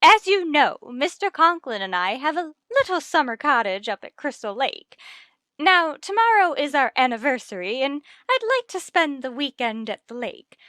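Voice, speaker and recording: A woman's voice: high-pitched, sing-song, moderate pace, pushed and bright, no echo, studio quality, full character voice. An actress playing a gracious, sociable middle-aged wife and mother in a 1950s radio sitcom, speaking in a genteel manner. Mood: gracious, chatty.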